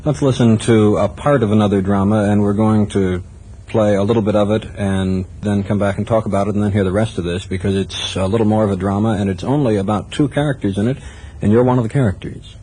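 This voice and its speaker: A low-voiced American man interviews on the radio. He is courteous and measured, with a dark, relaxed tone, on seventies tape with a little background noise. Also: rough recording. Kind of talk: broadcast interview, polite questioning.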